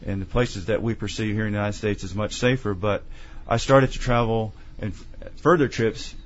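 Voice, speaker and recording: A man with a fairly low voice speaks in a measured, earnest way as an author answering questions in an interview. The sound is dull and lo-fi, like an older broadcast recording. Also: steady tone. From Tennessee. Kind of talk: conversational interview.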